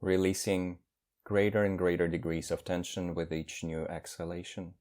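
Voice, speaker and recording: A man with a low-pitched voice leads a guided meditation. He speaks like a teacher, in measured phrases, and leaves generous pauses between one instruction and the next. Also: level tone, darkish tone.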